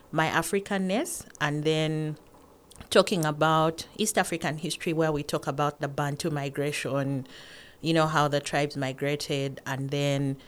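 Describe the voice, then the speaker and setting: A woman in her forties from northern Uganda, a guest answering interview questions in a calm, measured way. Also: low-pitched. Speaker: podcast guest, academic.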